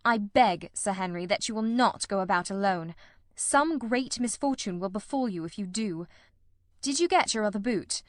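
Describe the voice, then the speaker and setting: A grave, commanding detective from a classic mystery storybook, voiced by a woman. The delivery is formal, precise and deliberate, at a medium pitch, in a very close, dry recording. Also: lively intonation, dry recording, natural voice. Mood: grave, cautionary.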